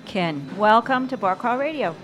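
A woman's voice: on the high side, expressive, normal speed, fairly bright tone, faint music behind. An American woman you'd hear chatting with guests as co-host of a podcast taped at a neighborhood bar. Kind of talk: casual conversational interview.

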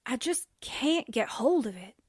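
High-voiced, wisecracking sidekick newspaperwoman. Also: animated, unhurried, husky, no room sound, studio quality. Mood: exasperated. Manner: wisecracking, blustering, folksy. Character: plucky, slangy, jittery newspaperwoman sidekick. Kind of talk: 1940s radio drama acting.

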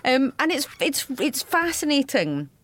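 A woman's voice: high-pitched, big pitch swings, unhurried, a bit bright, no room sound. A middle-aged woman with a Scottish accent hosts a casual podcast chat. She talks with dry, self-mocking wit. Her voice sits close to the mic in a dry, dead space. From Scotland. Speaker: podcast host.

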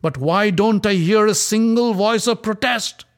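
A man doing a shrill, furious young queen. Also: unhurried. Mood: outraged. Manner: shrill, screaming, accusing. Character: wronged young queen, proud, furious, desperate.

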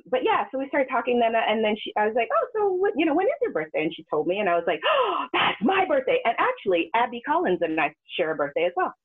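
A woman from Western Canada with a husky, breathy voice that sits low but swings widely as she talks. She laughs often and leaps into high squeals when quoting people. The sound is narrow and phone-like. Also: fast talker. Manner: animated, laughs often, jumps into high pitch for quoted voices. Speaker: guest performer. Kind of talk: casual interview storytelling.

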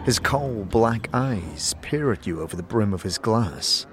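A man's voice: lowish voice, expressive, a little slow. A man narrating a scripted fantasy story in the second person.

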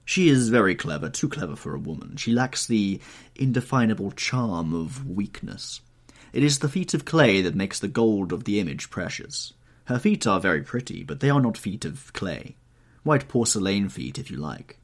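Audiobook: male narrator doing a witty aristocrat, low and husky, dry epigrams. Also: very animated, moderate pace. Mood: wry. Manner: languid epigrams, drawling. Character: witty cynical aristocratic gentleman.

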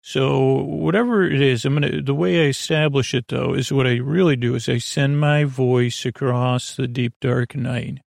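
A man with a creaky voice hosting a sleep podcast, rambling in a lulling, soothing way with halting tangents and word fragments. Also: mid-range voice, fairly even intonation, no echo, clean studio sound. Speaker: podcast host, bedtime storyteller. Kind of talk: meandering bedtime monologue for a sleep podcast.

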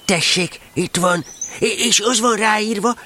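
A man playing a cheerful, simple, kindly toy bear in a children's audio drama, eager, naive and a little halting. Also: high-pitched, bright, forceful tone, slight background noise. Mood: earnest, helpful.

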